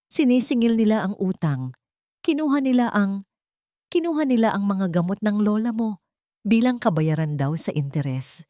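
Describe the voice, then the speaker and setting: A woman with a Filipino accent voicing a kindhearted middle-aged village neighbor in an audio story, halting and full of pity, her voice breaking. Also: mid-range voice, warm tone, dry recording, clean studio sound, pitched above the performer's own voice, lightly acted. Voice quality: breaking, sorrowful voice.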